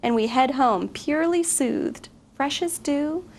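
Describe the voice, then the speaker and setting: A woman's voice: high-pitched, a little slow. A woman reading a picture book aloud expressively to children. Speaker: guest story reader. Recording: faint background noise.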